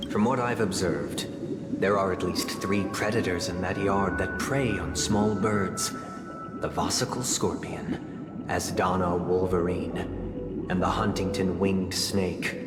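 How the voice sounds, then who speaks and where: A man's voice: low voice, steady tone, slow pace, reverberant. An actor playing a grave, courteous official in a video game, speaking formally and eloquently as he poses moral dilemmas. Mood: ominous calm. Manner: formal, eloquent, solemn, theatrical. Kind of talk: dramatic video game monologue. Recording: loud music under the voice.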